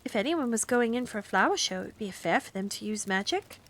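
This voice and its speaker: A woman's voice: medium pitch, expressive, quick pace, a bit bright. A woman voicing an eager, persistent young girl who keeps asking questions. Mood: eager, curious.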